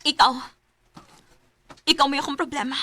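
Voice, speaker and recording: Stubborn young woman, blunt, high sharp voice, radio drama. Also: steady tone, moderate pace, soft background music. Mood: firm, defiant. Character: blunt, headstrong young woman defying her mother.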